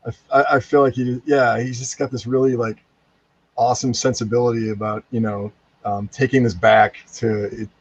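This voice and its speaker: A man's voice: mid-range voice, level tone, moderate pace, dry recording. Man, casual podcast guest, enthusiastic, laughing, muffled dry recording. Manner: enthusiastic, laughing, repeats "freaking". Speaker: voice actor guest.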